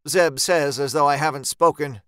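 A man narrating a first-person horror story in a measured, tense, dramatic audiobook style, recorded close in a dry space. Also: a little high, fairly even intonation, a bit bright, clear, resonant tone, dry recording.

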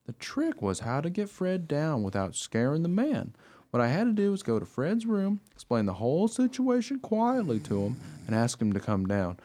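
Radio play dog narrator, male actor: earnest, naive, eager, swooping intonation, soft-toned voice. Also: on the high side, huge pitch swings, moderate pace. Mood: earnest, guileless. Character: eager, naive, trusting young dog telling his story.

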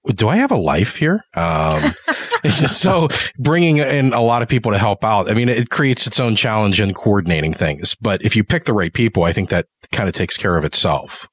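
Fairly deep-voiced male podcast co-host. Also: expressive, normal speed, a bit bright, breathy edge, dry recording. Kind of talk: casual interview chat.

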